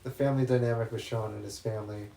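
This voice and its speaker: A man's voice: fairly low pitch, fairly even intonation, fast, dark tone. A man co-hosting a casual movie podcast, rambling with many restarts and plenty of enthusiasm. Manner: rambling, restarts often, upbeat about films. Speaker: podcast co-host. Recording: roomy echoey room.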